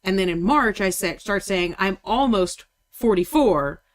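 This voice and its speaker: A woman's voice: medium pitch, animated, a little slow. A woman in her mid forties co-hosting a painting stream, chatting casually in a wry, blunt, joking way. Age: 40s. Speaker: co-host painting miniatures on stream. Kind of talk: casual chat.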